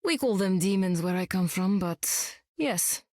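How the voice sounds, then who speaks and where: An actress playing a young, sardonic demon queen and war captain, deadpan and dry, in a video game. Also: fairly low pitch, unhurried, dry recording. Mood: cool, deadpan. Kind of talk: video game character acting.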